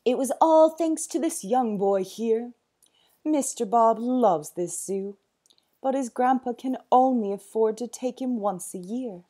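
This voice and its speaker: A kind, protective zookeeper, as a woman narrator puts him on, speaking louder and slower, earnest and sure of himself. Her voice stays dark and husky underneath, in a storybook reading. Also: a little high, voice pushed up. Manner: warm, earnest, firm.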